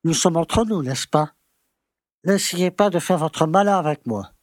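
A man's voice: fairly high pitch, lively intonation, unhurried, no echo. A man playing a pompous, condescending and evasive small-town mayor in an audio drama. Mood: haughty, insistent. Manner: pompous, patronizing.

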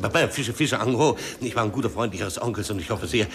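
A male actor as a hearty middle-aged merchant in a 1950s German radio play. He talks quickly in a low voice, ingratiating and pushy. Party chatter fills the space behind him. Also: close to an everyday voice. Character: brisk, hearty middle-aged fish wholesaler, pushily friendly.

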